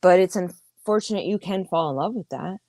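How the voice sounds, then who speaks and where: A woman's voice: fairly low pitch, normal speed, darkish tone, dry recording. A woman in her thirties hosting a podcast, talking candidly and emphatically in a casual livestream monologue, with frequent swearing. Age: 30s. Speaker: podcast host.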